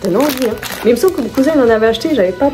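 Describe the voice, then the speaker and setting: Woman vlogging her grocery haul from home, rambly and self-correcting, warm mellow voice, faint music under. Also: fairly high pitch, lively intonation, rapid-fire. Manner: chatty, rambling, self-correcting, laughs at herself. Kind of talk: casual vlog chat, showing her shopping haul.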